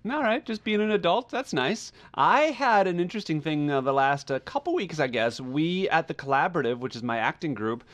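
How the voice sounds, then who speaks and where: A man's voice: on the high side, lively intonation, fairly quick, few pauses, fairly bright tone. A man hosting a podcast in a casual, chatty style, rambling as he goes. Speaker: podcast host.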